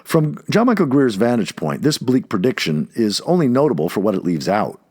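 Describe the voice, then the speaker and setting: A man reads an essay aloud in a rough, husky voice. His intonation swings widely as he stresses points with dramatic emphasis. The recording is dry and close, with little room sound. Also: mid-range voice, very animated, fairly quick, a little mellow, no echo. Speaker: audiobook narrator.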